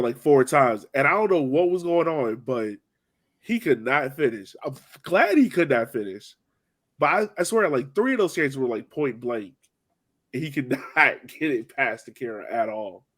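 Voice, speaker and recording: Animated, slangy young man. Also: medium pitch, normal speed. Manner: slangy, joking. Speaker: soccer podcast host. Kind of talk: casual sports chat.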